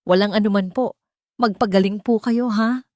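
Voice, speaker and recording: A woman's voice: mid-range voice, fairly even intonation, moderate pace, darkish tone, dry recording, clean studio sound, pitched above the performer's own voice, close to an everyday voice. A woman voicing a cheerful, sincere young man, polite and caring, in an audio story. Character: kind, cheerful, sincere young rich son.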